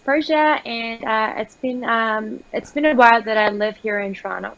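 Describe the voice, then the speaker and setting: A woman from Iran speaks over a remote call-in line from her home. Her voice sits a little high for a woman. She talks in a steady, articulate way, with frequent "uh" and "um" pauses. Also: vocal fry, dull audio. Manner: articulate, steady, measured with many uh and um. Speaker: guest caller, recreational therapist. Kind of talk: conversational call-in interview.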